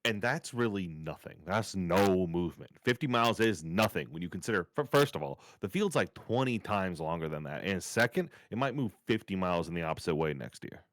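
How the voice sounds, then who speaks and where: Casting: man as wry football podcast co-host, low voice, dry close sound, conversational, exasperated, sweary. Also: expressive, fairly quick, few pauses, a bit bright, no echo. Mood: wry exasperation. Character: wry, exasperated male sports-show co-host named Roger. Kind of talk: scripted podcast table read as casual sports chat.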